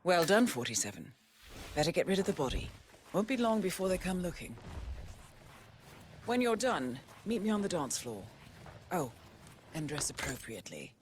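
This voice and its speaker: A woman playing a poised, calculating spy handler in a video game, speaking with composed, deliberate, formal phrasing. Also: lowish voice, big pitch swings, background noise. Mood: calm, commanding. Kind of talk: dramatic video game acting.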